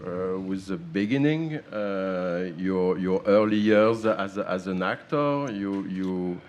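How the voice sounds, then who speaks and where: A man interviewing an actor on stage, halting and repeating words as he searches for phrasing. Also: low voice, expressive, a little mellow, highs cut off.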